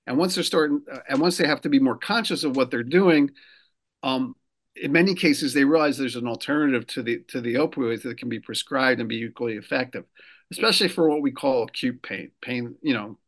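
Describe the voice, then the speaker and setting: A man from New Jersey, a political consultant, explaining a law in a radio interview, pausing often with fillers and restarts. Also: mid-range voice, a bit bright. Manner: measured, many fillers and restarts. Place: lives in Rhode Island.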